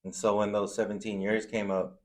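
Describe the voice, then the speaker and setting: Man, lowish pitch, informal slangy speech, interview guest, personal storytelling. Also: flat delivery, a little fast, a little mellow. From Zambia, moved to the US. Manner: informal, slangy, frequent "you know". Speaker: food truck owner, interview guest. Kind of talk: casual interview chat, personal anecdotes.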